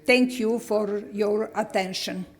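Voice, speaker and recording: A woman finishing a conference presentation in halting English, with many fillers. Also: medium pitch, steady tone. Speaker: conference speaker. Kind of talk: conference presentation, closing remarks.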